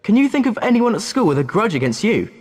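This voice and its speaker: A man's voice: high-pitched, very animated, fast talker, a little mellow, long reverb tail. A man with a British accent playing a shrewd, suspicious teenage older brother in a video game.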